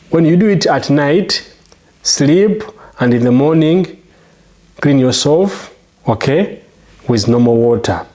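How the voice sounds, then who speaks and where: A man's voice: medium pitch, darkish tone. A man from Uganda with a Ugandan English accent, hosting a how-to video. He talks emphatically and promotionally, often checking in with the viewer. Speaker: channel host.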